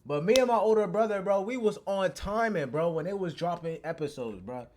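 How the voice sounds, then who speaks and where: A man's voice: high-pitched, a little fast, a little mellow. A young American man reacting in casual, slangy, informal chat as a video host. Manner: informal, peppers talk with slang.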